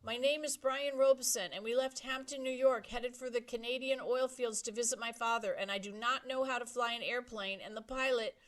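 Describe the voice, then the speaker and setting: A woman's voice: a little high, steady tone, a little fast, few pauses, voice pushed up, lightly acted. A woman voicing a panicked teenage boy stranded in a plane, calling frantically for help with a cracking voice. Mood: frantic.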